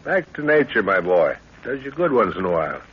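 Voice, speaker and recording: An actor playing an older, confident jungle explorer, hearty, brisk and fatherly, in a 1930s radio adventure drama. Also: fairly low pitch, big pitch swings, slightly rough. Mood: hearty, assured. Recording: dull, hissy 1930s radio recording.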